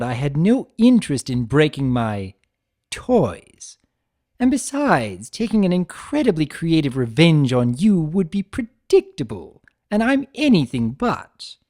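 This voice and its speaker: A man plays a mischievous chimera trickster in a dramatic story reading. The voice rides high and swings widely in pitch, teasing and flippant with a theatrical flourish. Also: warm tone. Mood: gleeful, mocking. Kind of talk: dramatic fan fiction reading.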